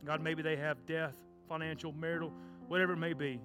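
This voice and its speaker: A man preaches in a church sanctuary, his voice sitting a little high. He delivers the sermon with loud, fervent passion, then eases into the closing prayer. Soft guitar music plays clearly underneath him. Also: little pitch movement, a little fast. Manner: fervent shouting preacher, stomps and cries. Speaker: children's pastor.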